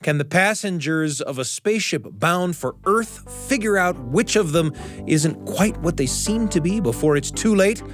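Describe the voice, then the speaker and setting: A man hosting a radio show, telling stories and chatting with deliberate phrasing and dramatic pauses. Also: a little high, expressive, faint music behind. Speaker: radio show host and storyteller. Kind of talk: reflective personal storytelling and hosted studio conversation. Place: radio studio control room.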